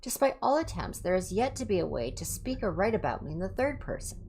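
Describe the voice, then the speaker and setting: A woman's voice: medium pitch, big pitch swings, quick pace, darkish tone, breathy edge. A woman livestreamer reading horror entries aloud in an ASMR style, with casual asides.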